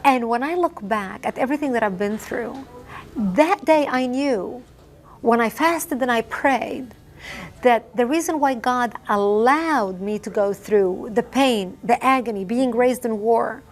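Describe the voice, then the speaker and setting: A woman's voice: fairly high pitch, measured pace. A middle-aged woman from Lebanon whose first language is Arabic, speaking emphatically as an interview guest and repeating phrases for effect. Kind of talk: impassioned testimony.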